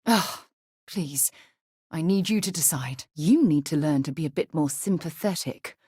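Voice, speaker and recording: An actress playing a composed, principled spaceship explorer in a video game, caring but quick to reproach, speaking in an earnest, articulate and expressive way. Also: female voice, sits low, breathy edge, dry recording, studio quality, exaggerated voice. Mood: reflective. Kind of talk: video game character voice lines.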